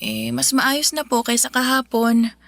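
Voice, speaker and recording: Weak feverish young patient. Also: female voice, on the high side, steady tone, a little slow, gravelly, no echo. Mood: tired. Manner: tired, soft, polite. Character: young office worker woman sick in hospital.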